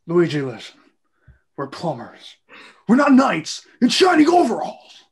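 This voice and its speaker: A man's voice: high voice, wildly swooping pitch, a little slow, creaky, breathy edge, heavily acted. A man playing Mario as a blunt, exasperated, money-minded plumber in an amateur script reading. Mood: exasperated, pleading.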